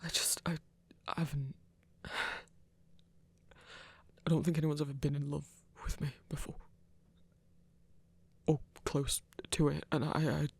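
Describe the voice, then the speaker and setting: An actor playing a shy, insecure werewolf hunter in an intimate ASMR romance, stammering in a breathy, gravelly voice. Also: medium pitch, little pitch movement, unhurried, pauses often, a little mellow, no room sound. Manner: hesitant, stammering, self-doubting.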